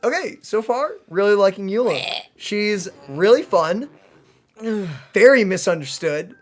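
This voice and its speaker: A woman hosting a gaming let's play, commenting casually and earnestly with expressive reactions. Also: mid-range voice, measured pace. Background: game music.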